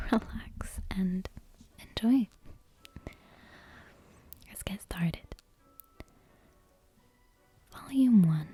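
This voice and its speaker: A woman speaks in a very breathy, whispery hush, close to the mic. Her delivery is slow and gentle, with a dark, mellow softness suited to bedtime ASMR. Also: mid-range voice. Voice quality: breathy, hushed, near-whisper. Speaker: ASMR channel host. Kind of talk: soft-spoken, whispery ASMR, intro and bedtime story reading. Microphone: close ASMR microphone with headphone monitoring.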